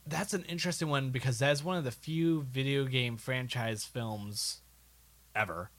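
Male podcast co-host with a medium-pitched voice, casual and conversational, thoughtfully explaining. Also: normal speed, a bit bright. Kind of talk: casual film and gaming chat.

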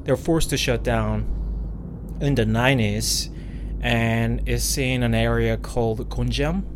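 A man's voice: mid-range voice, steady tone, measured pace, background noise. Man, loose rambling chat. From South Korea. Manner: loose and rambling, many fillers and restarts. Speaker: podcast co-host. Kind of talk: casual chat.

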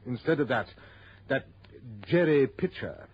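An actor playing a formal, fussy older British art gallery owner in a vintage radio drama. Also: male voice, mid-range voice, lively intonation, unhurried, breathy edge. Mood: courteous, fretful. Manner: formal, polite, hesitant ums. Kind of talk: 1960s radio drama acting. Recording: dull, noisy old radio recording.

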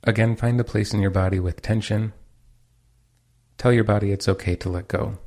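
A man leading a guided meditation as its teacher, giving calm, unhurried instructions. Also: on the low side.

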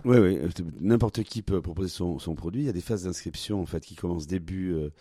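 A man with a very deep, dark, mellow voice explains the rules of a competition in an interview. The sound is dry and close, and he pauses often on fillers. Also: no room sound. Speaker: competition organizer.

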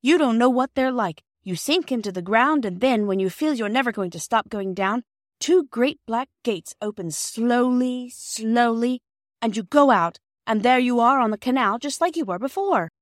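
A woman's voice: a little high, lively intonation, moderate pace, no room sound, studio quality, pitched above the performer's own voice, lightly acted. A woman voicing an enthusiastic, boastful schoolboy in a storybook reading, emphatic and full of exclamation. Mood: thrilled.

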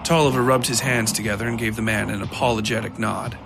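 A man narrating a dark fiction story in a deliberate, theatrical way. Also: on the low side, fairly even intonation, creaky, noisy background. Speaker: narrator of a horror fiction podcast. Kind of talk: scripted dramatic story narration. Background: music behind the voice.

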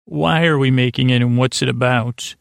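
Gravelly, meandering man hosting a bedtime podcast. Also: medium pitch, steady tone, no room sound, clean studio sound. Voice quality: creaky, gravelly. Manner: halting, digressive, with repeated stumbles and self-interruptions. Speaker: podcast host.

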